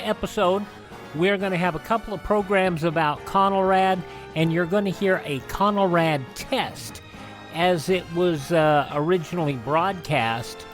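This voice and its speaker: Male podcast host, higher voice, informal and halting, faint music underneath. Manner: informal, halting with frequent uhs. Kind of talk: casual introduction to old radio programmes.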